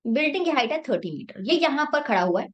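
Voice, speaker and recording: A woman's voice: high voice, very animated, fast, lo-fi sound. A young woman math teacher with a sore throat, explaining a problem step by step in a mix of Hindi and English and answering her own questions.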